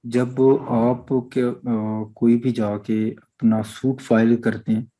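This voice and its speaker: A man with a Pakistani accent teaching law in an online lecture, reading out statute and explaining it deliberately, often checking that listeners follow. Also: medium pitch, mellow, slightly rough, rough recording.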